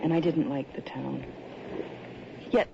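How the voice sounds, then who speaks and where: A woman's voice: low voice, fairly even intonation, slow pace, vocal fry, exaggerated voice. An actress in a radio drama playing a moody, reflective woman telling her own story, on a dull, hissy recording. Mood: brooding, reflective. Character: moody, reflective city woman narrating her strange tale. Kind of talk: first-person radio drama narration.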